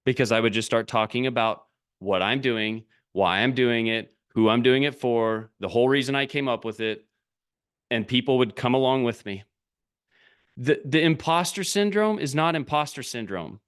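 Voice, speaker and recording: A man's voice: medium pitch, normal speed, fairly bright tone, clean tone. A middle-aged man coaching a group over a video call, talking bluntly in short, punchy, repeated sentences. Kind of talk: motivational talk on a live video call.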